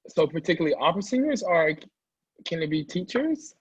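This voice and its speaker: A man's voice: a little high, big pitch swings, fairly quick, husky, no echo, lo-fi sound. A man who is an opera singer talking casually as an interview guest, emphatic and chatty, often checking in with the listener. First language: English.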